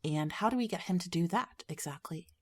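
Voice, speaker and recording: A woman's voice: fairly low pitch, lively intonation, brisk, slightly rough. A woman playing a sceptical, cautious teenage schoolgirl in audio drama dialogue, with a dry, dismissive manner. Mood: sceptical, wary.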